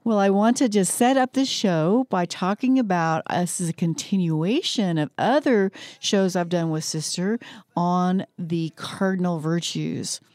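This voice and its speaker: Woman, radio host, low-ish voice, dry studio, enthusiastic. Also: unhurried, darkish tone, dry recording. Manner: enthusiastic, upbeat interjections. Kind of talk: radio talk show.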